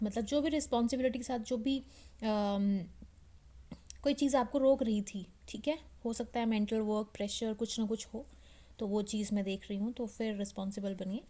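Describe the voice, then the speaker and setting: Slow-talking Indian woman reading cards. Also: mid-range voice, warm tone. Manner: mixes Hindi with English phrases. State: slightly sore throat. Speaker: tarot reader and channel host. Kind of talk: casual card reading addressed to viewers.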